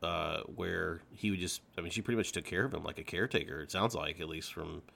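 A man with a fairly low voice talks as a podcast co-host. He rambles casually through a book discussion with friends, dropping in slangy exclamations. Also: fast, vocal fry, a bit bright.

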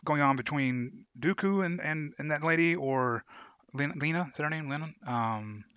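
A man with a bright, forceful voice chats casually as a podcast co-host, pausing to search for words. The recording is very dry and slightly muffled. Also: a little high, smooth, dry recording. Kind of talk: casual chat reviewing an audio drama.

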